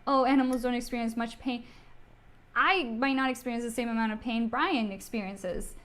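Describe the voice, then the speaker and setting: A woman from Costa Rica co-hosting a casual livestream, animated and quick to laugh. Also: fairly high pitch, crowd noise. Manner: animated, laughs often, talks to pets. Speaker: livestream co-host.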